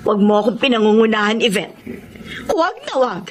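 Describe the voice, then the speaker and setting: A woman's voice: medium pitch, sing-song, moderate pace, darkish tone, a little hiss or hum, close to an everyday voice. An actress playing a stern, domineering middle-aged mother-in-law who scolds imperiously in a radio drama. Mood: stern, scolding. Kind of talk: Tagalog radio drama acting.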